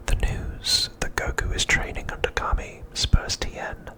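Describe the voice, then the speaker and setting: A man's voice: a little slow, bright, forceful tone. Whispering man, ASMR narration. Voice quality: breathy, unvoiced whisper. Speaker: ASMR host.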